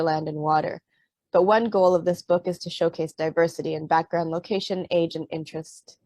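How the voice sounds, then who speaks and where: Soft-spoken Canadian woman author, hesitant. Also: lowish voice, no echo. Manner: hesitant, many fillers and restarts. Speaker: author and panelist. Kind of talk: panel talk and reading from her book. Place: Vancouver.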